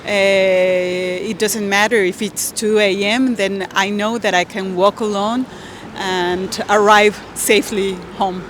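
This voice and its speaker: Mexican woman, mid pitch, earnest street answer, faint traffic. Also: steady tone, slow, a bit bright. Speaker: interviewee. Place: outdoors on a city street.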